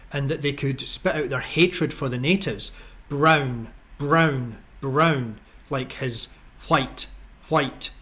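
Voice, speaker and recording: A man's voice: medium pitch, fairly bright tone. A man with a Scottish accent delivering sarcastic, indignant political commentary as a channel host, in a mocking, ranting voice-over.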